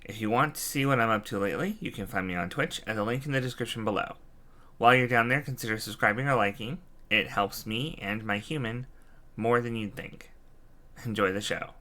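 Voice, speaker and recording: A man giving casual gameplay commentary as a streamer, relaxed and joking in his reactions. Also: lowish voice, a little fast. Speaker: game streamer. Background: game music.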